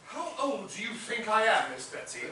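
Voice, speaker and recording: A man's voice: sits high, very animated, normal speed, bright, forceful tone, heavily acted. A man playing a fussy, formal, put-upon butler of fifty in a staged comedy reading. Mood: indignant. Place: theater stage, recorded from a distance. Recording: echoey theater, distant mic, faint noise.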